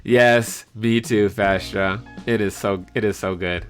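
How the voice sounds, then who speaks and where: A man chatting casually on a live stream in an animated, hesitant way, reading out and answering viewer comments. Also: on the low side, a little slow. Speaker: livestreamer and amateur voice actor. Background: piano background music.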